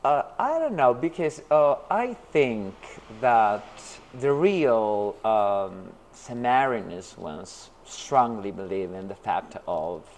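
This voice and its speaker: Interview guest, male, from New York, slow and halting, lots of um and uh, low-ish voice. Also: animated, darkish tone, a little hiss or hum. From Brooklyn, New York. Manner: hesitant, many fillers and restarts. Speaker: interview guest, artist and teacher. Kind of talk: casual interview talk.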